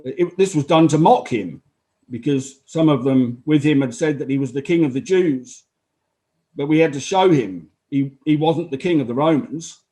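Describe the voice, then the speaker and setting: A man's voice: a little high, moderate pace, warm tone, lightly acted. A man acting as a matter-of-fact Roman army officer who gives unemotional, explanatory testimony in a dramatized inquiry. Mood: explanatory, detached.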